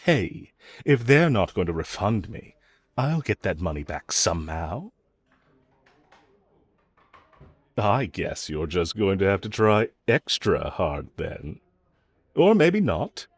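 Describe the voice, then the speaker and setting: Man acting a low-voiced, cocky flirtatious villain. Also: very animated, measured pace, slightly rough, full character voice. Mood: smug, amused. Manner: teasing, sarcastic drawl with chuckles. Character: cocky, flirtatious, mocking male supervillain, unrepentant. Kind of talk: audio roleplay acting, addressed to listener.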